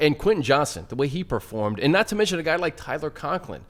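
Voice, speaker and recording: A man's voice: on the high side, sing-song, quick pace. A man hosting a sports podcast, leading the talk conversationally and running through players and plays. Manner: conversational, leads the discussion and lists players. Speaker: podcast host. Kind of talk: casual sports podcast chat.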